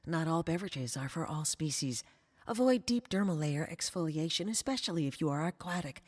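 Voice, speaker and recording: A woman's voice: low voice, expressive, brisk. A woman as a reverberant resort announcer. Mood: blandly welcoming. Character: polished female resort announcer and bartender, blandly welcoming. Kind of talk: resort announcement in audio drama.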